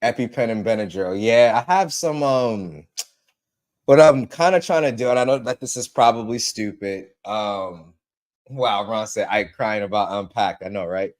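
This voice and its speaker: A man's voice: on the low side, moderate pace. A man hosting a casual tech livestream, a little congested from allergies, repeating himself and greeting viewers by name. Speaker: livestream host.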